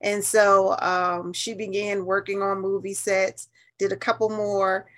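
A woman's voice: medium pitch, level tone, unhurried, a bit bright. A woman in her fifties with an American accent, telling stories casually and emphatically as an interview guest. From New Haven, United States. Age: 50s.

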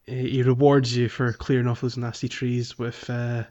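A man co-hosting a casual video game podcast, talking in an animated way and repeating phrases for emphasis. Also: mid-range voice, normal speed, dull audio. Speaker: podcast co-host.

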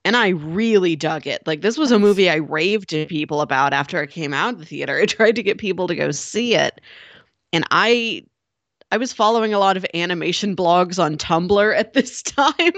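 A woman's voice: fairly low pitch, animated, moderate pace, a bit bright, no echo, highs cut off. A woman co-hosting a casual film discussion, explaining things informally with plenty of "like" thrown in. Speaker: podcast co-host.

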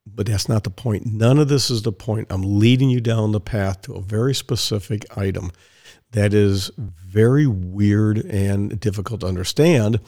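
A man hosting a solo podcast, his voice hoarse and raspy from strained vocal cords, talking in a rambling, digressive way with repeated words and restarts. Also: fairly low pitch, normal speed, warm tone. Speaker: podcast host.

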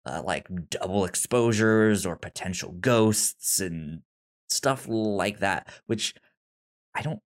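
A man co-hosting a podcast, talking casually about film in a halting, rambling way with many restarts. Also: on the low side. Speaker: podcast co-host.

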